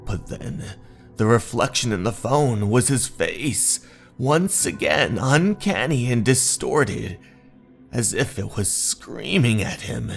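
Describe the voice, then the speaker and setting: A man narrating a scripted horror story in a deliberate, suspenseful, dramatic manner over music. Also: medium pitch, animated, vocal fry. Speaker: narrator of horror manga stories. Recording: music under the voice.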